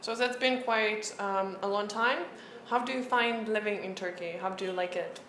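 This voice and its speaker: A woman interviewer asking gentle, prompting follow-up questions. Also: medium pitch, normal speed. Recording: echoey room, faint noise.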